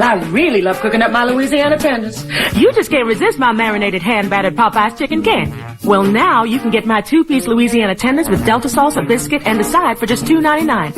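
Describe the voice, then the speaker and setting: Female actor as folksy, playful TV-ad cook, self-assured, very animated delivery over music. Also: fairly high pitch. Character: warm, sassy female fast-food cook pitching chicken. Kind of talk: TV commercial acting.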